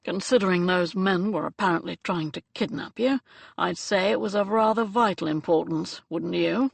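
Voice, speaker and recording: A brooding young gentleman, blunt and scornful, as a woman narrator puts him on. The voice drops lower and quieter than the narration, with clipped delivery. The recording is close and dry. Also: normal speed, gravelly, breathy edge, no echo. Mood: cold.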